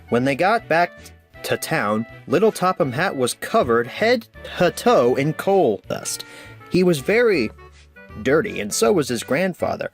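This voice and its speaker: A man narrating a children's storybook aloud with deliberate phrasing. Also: medium pitch.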